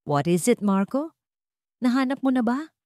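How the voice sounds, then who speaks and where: A woman's voice: a little high, animated, unhurried, warm tone, dry recording, clean studio sound, pitched above the performer's own voice. Woman voicing a terse, brooding Filipino businessman. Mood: impatient. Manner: terse, commanding. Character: curt, brooding wealthy young businessman, commanding and guarded. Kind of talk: dramatic audio story.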